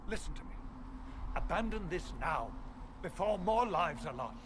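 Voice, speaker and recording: An actor playing a pompous, haughty church chancellor in a fantasy video game, scolding and officious. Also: male voice, high voice, animated, normal speed, very noisy. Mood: agitated. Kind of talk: dramatic video game acting.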